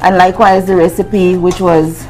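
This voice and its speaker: A woman's voice: lowish voice, little pitch movement, moderate pace, darkish tone, soft background music, low-quality recording. A middle-aged woman, chatting as a brand representative as she explains promotions and products. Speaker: brand representative guest on a cooking show. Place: TV studio kitchen set. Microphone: lapel mic.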